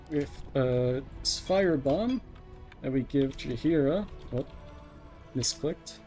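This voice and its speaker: Casual male speedrun commentator, mid-pitched and unhurried, talking through each move over soft game music. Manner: explains each step as they play. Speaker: speedrunner, live streamer. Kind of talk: live gameplay commentary, casual.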